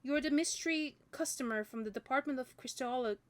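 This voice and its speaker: A woman reading the part of a friendly, brisk shopkeeper mother with a wry streak, in a storybook narration. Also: high-pitched, pitched above the performer's own voice, close to an everyday voice. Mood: playfully wry.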